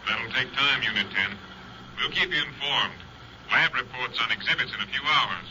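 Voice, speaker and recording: An actor playing a brisk, skeptical captain heard over a two-way car radio in a 1950s radio drama, with clipped official delivery. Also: male voice, medium pitch, rough recording. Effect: two-way radio filter. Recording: sharp radio filter over hissy old recording.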